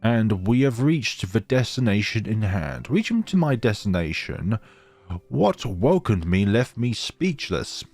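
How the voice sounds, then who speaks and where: Low-voiced man, very animated game narration. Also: a little slow, gravelly. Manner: dramatic reading with casual asides. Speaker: gaming channel host. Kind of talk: let's play, reading visual novel text aloud with commentary.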